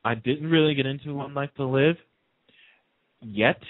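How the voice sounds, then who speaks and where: A man's voice: mid-range voice, moderate pace, no echo. A man chatting casually as a guest in a radio interview, telling stories in a talkative way. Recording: narrow, tinny phone-line sound.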